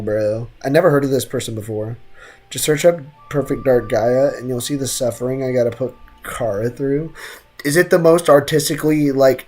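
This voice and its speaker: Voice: medium pitch, mellow. A game streamer chatting casually over gameplay and reading chat, with slangy, joking asides.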